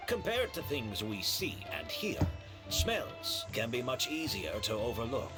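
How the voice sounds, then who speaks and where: A man's voice: medium pitch, wildly swooping pitch, measured pace, loud music behind the voice. An actor playing a courteous, apologetic male hotel host in a video game, with a polite, explanatory way of talking. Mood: matter-of-fact.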